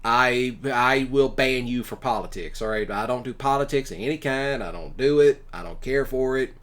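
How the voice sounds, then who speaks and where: A man's voice: mid-range voice, normal speed, a bit bright. Folksy man telling stories. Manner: folksy, blunt, colloquial. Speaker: ASMR roleplay creator and commentator. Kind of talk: casual rambling personal storytelling.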